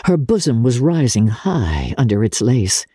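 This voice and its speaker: A woman narrating classic literature as an audiobook reader, in a measured, expressive and theatrical manner. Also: a bass voice, husky, dry recording, clean studio sound.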